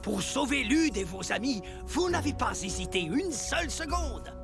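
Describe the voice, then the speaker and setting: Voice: high-pitched, very animated, normal speed, vocal fry, exaggerated voice. An actor voicing a stern but fair clan elder in a cartoon dub, speaking formally and with authority. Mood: proud. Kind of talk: animated cartoon dubbing. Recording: music behind the voice.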